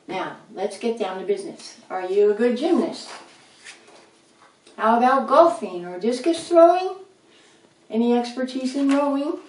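A woman's voice: on the high side, a little mellow, close to an everyday voice. A woman voicing a cheerful, bossy male red rat who talks in upbeat rhymes, recorded in a roomy space a little off the mic. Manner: upbeat, rhyming, coaxing. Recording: roomy, slightly distant mic.

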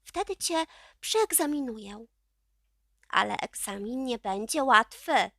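A stern old witch in charge of all the others, played by a woman reading a storybook. She speaks slowly and imperiously, with weight on each word, in a dry, close sound. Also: a little high, expressive, bright, forceful tone, no room sound, heavily acted. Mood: measured warning. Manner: imperious, slow, emphatic. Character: stern, commanding chief witch, old female.

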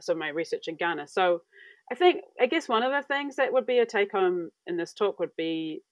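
A woman in her thirties from New Zealand giving a conference talk over a video call from a room at home, relaxed and searching for words with many fillers. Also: lowish voice, no room sound. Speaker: academic speaker. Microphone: earphone mic on video call. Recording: dry, dull video-call audio.

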